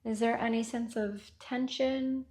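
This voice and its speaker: A woman guiding a body scan meditation with gentle, unhurried instructions and long pauses. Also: on the high side, steady tone. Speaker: meditation guide.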